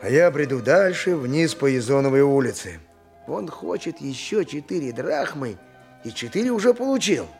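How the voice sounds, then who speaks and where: A man's voice: medium pitch, big pitch swings, normal speed, creaky, a little mellow, faint music behind. An actor playing a poor, downtrodden ancient Greek donkey driver in an audio drama, plaintive, simple and resigned, both telling and acting his story. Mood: resigned, sorrowful. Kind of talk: audio drama, dialogue mixed with first-person narration.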